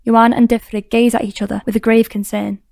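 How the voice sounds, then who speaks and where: Flat, creaky woman narrator. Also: on the high side, fast, no room sound, clean studio sound. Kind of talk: scripted audiobook narration.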